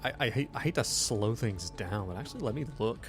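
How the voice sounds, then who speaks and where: A man running a tabletop game as game master, improvising the scene in an informal, halting, teasing way. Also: on the low side, expressive, faint music behind.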